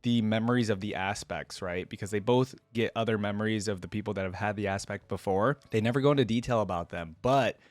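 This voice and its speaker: A man's voice: fairly low pitch, fast, few pauses, no room sound. A man hosting a reaction video with casual, chatty commentary, thinking aloud as he goes.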